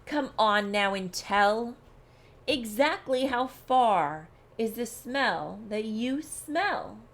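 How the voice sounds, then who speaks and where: A proud male rabbit from a storybook, played by a woman reader, bragging and challenging. The words come very slowly and deliberately, with a smug certainty about his keen ears. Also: a little high, animated, heavily acted.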